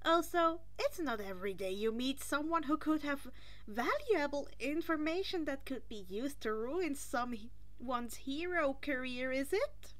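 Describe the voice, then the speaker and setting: Woman voicing a high, squeaky, nervous teenage boy. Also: expressive, a little slow. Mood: cheeky. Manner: stammering, apologetic.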